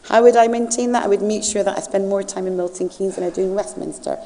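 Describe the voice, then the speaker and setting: A woman's voice: mid-range voice, fairly even intonation, fast, warm tone. Earnest Scottish woman in echoing hall. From Scotland. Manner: earnest, with frequent fillers and restarts. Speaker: parliamentary candidate. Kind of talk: campaign hustings speech.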